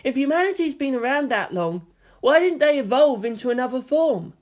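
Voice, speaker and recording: Narrator acting out earnest, high-pitched geeky young lieutenant. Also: expressive, fairly quick, darkish tone. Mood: emphatic, lecturing. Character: earnest, geeky young male lieutenant explaining invented scripture.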